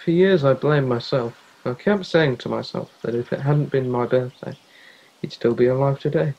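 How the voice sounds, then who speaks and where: A man's voice: mid-range voice, normal speed, gravelly, a little mellow, lo-fi sound. A young man in a youth theatre play acting a worried, caring teenage friend, earnest and pleading. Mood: sorrowful. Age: young adult.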